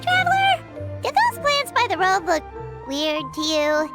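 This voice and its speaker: A woman's voice: very high voice, huge pitch swings, a little slow, loud music behind the voice, heavily acted. A woman voicing a small, childlike, chatty and easily spooked fairy companion in a video game, exclamatory in manner. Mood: relieved, curious. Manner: refers to self in third person, exclamatory. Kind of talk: cartoonish video game voice acting.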